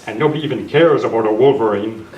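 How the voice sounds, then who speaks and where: A man's voice: on the low side, fast, darkish tone, dull audio, natural voice. A man playing an upright masked western hero in an amateur radio western, with plain, proper speech. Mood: reasoning, wry. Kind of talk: amateur old-time radio western acting. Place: club meeting room, far camera. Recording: echoey, distant camera sound, clear noise.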